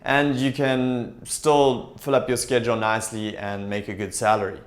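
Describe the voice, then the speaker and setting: A young man talking straight to camera in a small room, explaining things in a steady, upbeat way. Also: medium pitch, normal speed. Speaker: TEFL recruiter and vlogger. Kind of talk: direct-to-camera advice talk. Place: small indoor room with shelves.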